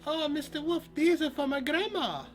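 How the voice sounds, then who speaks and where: A man squeaking as a tiny sing-song little girl. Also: normal speed, faint music behind. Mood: polite.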